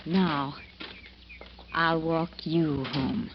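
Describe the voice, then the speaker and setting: An actress playing a headstrong, self-possessed woman who is brisk and bossy, in an old-time radio drama. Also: low-pitched, steady tone, exaggerated voice. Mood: firm, bossy. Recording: dull, noisy old radio recording.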